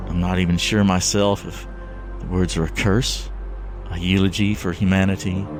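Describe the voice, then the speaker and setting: A man playing a fervent, fatalistic last survivor in a solemn, measured, theatrical audio drama monologue. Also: fairly low pitch, lightly acted. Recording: scary music behind the voice.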